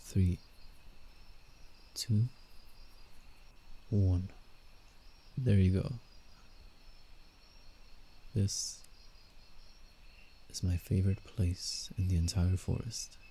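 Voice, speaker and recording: A very dark, mellow werewolf, acted by a man, speaks low and slowly in a roleplay ASMR piece. The creature is gentle and protective, soothing a fragile human with faint condescension. Night insects chirp behind the voice. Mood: tender, calm. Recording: steady night insect ambience, background noise. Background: crickets and night forest ambience.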